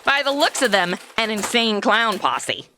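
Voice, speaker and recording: A woman's voice: medium pitch, big pitch swings, a little slow, noisy background. A woman voicing a sarcastic modern city woman stuck in the Old West in a comedy audio drama, trading snarky quips.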